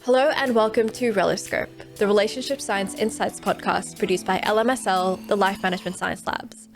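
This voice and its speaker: A woman's voice: medium pitch, fairly even intonation, fairly quick, soft background music. A woman hosting a podcast, reading a scripted intro and then chatting with enthusiasm and some hesitant fillers. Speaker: podcast host.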